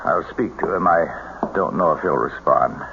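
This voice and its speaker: An actor playing an earnest, compassionate middle-aged American doctor in an old-time radio drama, speaking in a measured way with small hesitations. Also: male voice, very deep voice, gravelly, heavily acted. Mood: concerned, professional. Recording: muffled, noisy old radio drama recording.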